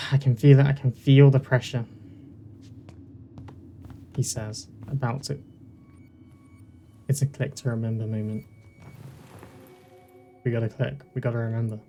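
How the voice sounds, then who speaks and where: Gaming host: southern English male, middle pitch, fry-heavy, chatty and self-deprecating, slightly noisy mic. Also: little pitch movement, measured pace, pauses often, warm tone. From southern England. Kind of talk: casual let's play commentary. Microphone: poor-quality mic with uneven levels. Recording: poor mic with faint noise.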